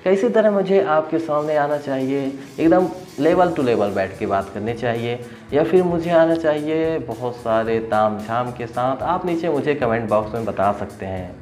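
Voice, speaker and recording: An Indian man presents an informal app review as a tech video host. He speaks in a mid-pitched voice with emphatic delivery, often repeating phrases to stress them. Music plays behind him. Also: animated, normal speed, a little mellow.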